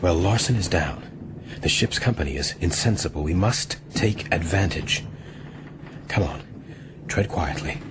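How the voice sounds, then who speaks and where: An actor in a radio drama playing an earnest, courteous ship's mate, measured and purposeful. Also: male voice, low voice, lively intonation. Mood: urgent, resolute. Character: earnest, resolute ship's mate, educated man plotting escape. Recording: audio drama with clear ambient noise.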